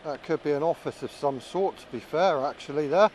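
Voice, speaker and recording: Higher-voiced man thinking aloud, noisy outdoors. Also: fairly quick. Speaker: vlogger and drone pilot. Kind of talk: casual running commentary while flying a drone.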